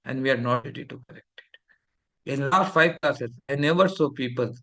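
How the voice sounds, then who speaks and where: A man's voice: medium pitch, normal speed, vocal fry, pushed and bright. A man with an Indian English accent giving an emphatic classroom lecture, sometimes scolding the students.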